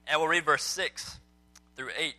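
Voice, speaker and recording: A man's voice: a little high, steady tone, unhurried, bright, forceful tone. A man leading an evening Bible study, talking casually to his group, asking them questions with frequent ums. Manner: conversational, quizzes listeners, frequent ums. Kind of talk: casual teaching talk to a small group. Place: indoor meeting room with a group.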